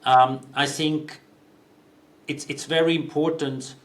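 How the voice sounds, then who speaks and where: A man in his fifties, originally from Switzerland, answering interview questions thoughtfully, with frequent pauses and restarts. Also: a little high, steady tone. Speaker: guest, company CEO.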